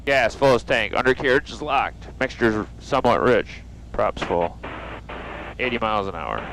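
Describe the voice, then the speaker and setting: A man's voice: medium pitch, animated, normal speed, background noise. An American man flying a small plane, chatting casually and making clipped radio calls over a headset. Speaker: pilot. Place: small airplane cockpit in flight. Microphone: aviation headset. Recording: loud engine drone over headset audio.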